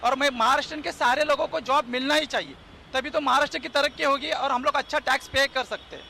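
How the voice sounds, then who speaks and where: A man's voice: very high voice, steady tone, fast, vocal fry, bright, forceful tone, a little hiss or hum. A man insisting on his view in a street interview outdoors, asking rhetorical questions.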